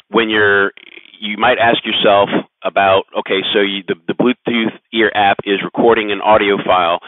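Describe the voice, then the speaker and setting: A man giving a casual explainer to camera in a halting way, repeating words as he goes, recorded through a Bluetooth aviation headset. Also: low-pitched, no room sound. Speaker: aviation vlogger. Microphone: aviation headset over Bluetooth to phone. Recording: muffled phone-like Bluetooth headset audio.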